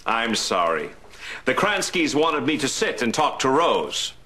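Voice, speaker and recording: An actor playing an earnest fatherly reverend in a 1930s radio serial, speaking in a measured, theatrical way. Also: medium pitch, very animated, sharp tone.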